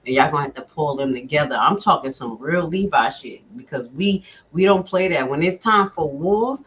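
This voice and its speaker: A woman's voice: sits low, slight background noise, poor audio. Fired-up, foul-mouthed woman vlogger. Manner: blunt, profane, fired-up; addresses viewers directly. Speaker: commentary vlogger. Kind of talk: reacting to a meeting recording.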